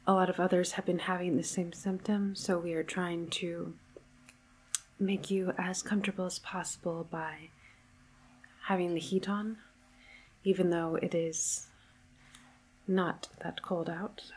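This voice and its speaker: A woman playing a calm, reassuring government doctor in an ASMR medical roleplay, soft-spoken and partly whispering, with a hesitant, clinical manner. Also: fairly low pitch, unhurried, pauses often, slight background noise, lightly acted, poor audio. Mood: calm, explanatory.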